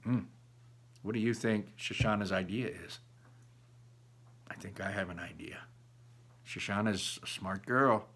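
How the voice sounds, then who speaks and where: A man reading a children's novel aloud expressively, with emphatic pauses and thoughtful asides to the listener. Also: fairly low pitch, a bit bright, breathy edge. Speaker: read-aloud narrator.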